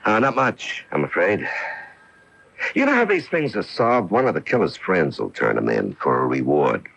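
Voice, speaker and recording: An actor playing a genial, seasoned police lieutenant in a radio mystery, gravelly and matter-of-fact, with a knowing manner. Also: male voice, sits low, big pitch swings, moderate pace, fairly bright tone, full character voice. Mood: helpful. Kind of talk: radio mystery drama acting. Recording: muffled AM radio broadcast.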